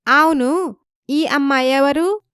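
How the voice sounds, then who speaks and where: A woman's voice: high voice, slow, a bit bright, no echo, clean studio sound, voice pushed up. A woman voicing a demanding, bubbly young wife in a story, bossy and mixing in English phrases. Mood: bright, bossy.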